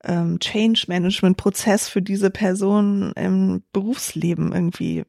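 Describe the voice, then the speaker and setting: Young woman founder, dark mellow voice, dry close sound, thinks out loud with lots of fillers. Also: mid-range voice, animated, a little slow, creaky, no room sound. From Germany. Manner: thoughtful, many fillers and restarts. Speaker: startup founder, guest. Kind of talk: reflective interview answers.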